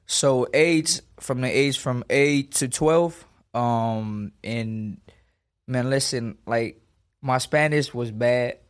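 A man in his twenties from Los Angeles talks in an easy, streetwise way as an interview guest. His voice sits at a middle pitch, and he punches his points with emphasis and slang. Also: slow pace, smooth. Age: 20s. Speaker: boxer guest. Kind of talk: casual interview.

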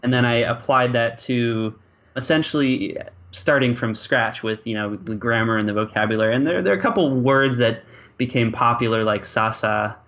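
A man's voice: medium pitch, normal speed, fairly bright tone. Guy raised on french and english being interviewed as a linguist, mid voice, kinda dull lo-fi sound. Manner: hesitant starts, peppered with "you know" and "like". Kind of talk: conversational interview answers. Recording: slightly dull, lo-fi audio.

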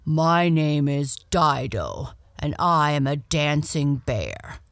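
A big shaggy dancing bear from a storybook, voiced by a woman. He speaks out loudly and slowly, with hearty, kindly emphasis. The sound is close and dry. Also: low voice, very animated, no echo, heavily acted.

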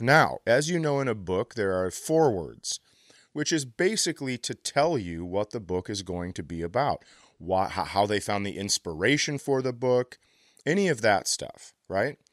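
A man's voice: lowish voice, lively intonation, normal speed, no echo, studio quality. An American man hosting a comedy podcast, telling casual stories with a profane, exasperated ranting streak. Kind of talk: casual comedic chat and storytelling.

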